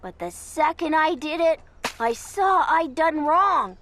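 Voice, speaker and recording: A woman reading a children's picture book aloud in an expressive, theatrical storytelling manner, over background noise. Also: high-pitched, slow. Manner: theatrical, dramatic delivery for children.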